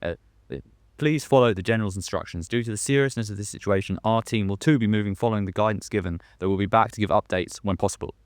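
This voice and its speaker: A young man playing a polite radio news presenter who gets nervous and flustered, halting in his delivery, in a radio drama. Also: fairly low pitch, no echo, close to an everyday voice. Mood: urgent. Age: young adult. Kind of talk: modern radio drama acting.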